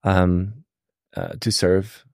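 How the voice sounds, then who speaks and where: A young man from Switzerland, a podcast guest, telling his story in a relaxed, halting way with many fillers. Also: deep voice, vocal fry, no echo, clean studio sound. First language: Swiss German. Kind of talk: casual interview storytelling.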